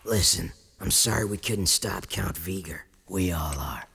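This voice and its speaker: A man's voice: sits low, measured pace, gravelly, a bit bright, husky, exaggerated voice. A man playing a grim, sardonic war commander in a video game, terse and dry. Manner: terse, dry military delivery. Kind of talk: video game cutscene acting.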